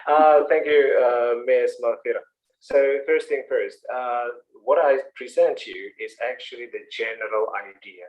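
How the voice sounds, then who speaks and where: A man's voice: medium pitch, measured pace, darkish tone, lo-fi sound. Indonesian-accented male instructor, explains steadily and encourages, mid-range voice. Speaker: English instructor. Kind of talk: answering questions in an online class. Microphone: online video call.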